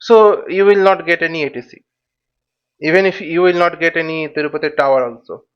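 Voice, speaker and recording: A man giving an informal step-by-step tutorial as an instructor, speaking haltingly and repeating his words and phrases. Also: fairly high pitch, clean tone. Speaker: flight simulation instructor. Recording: dull, lo-fi microphone sound.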